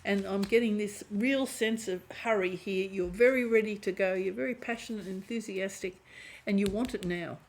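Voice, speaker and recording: Woman with a mid-pitched voice doing a tarot reading, kinda hoarse, keeps clearing her throat. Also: moderate pace, low-quality recording. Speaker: tarot reader. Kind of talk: intuitive tarot reading to camera.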